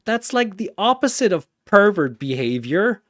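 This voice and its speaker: A man voicing a feisty, indignant teenage girl fighter with an animated, defensive delivery in a battle story. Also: sits high, normal speed, dry recording, clean studio sound, voice pushed up.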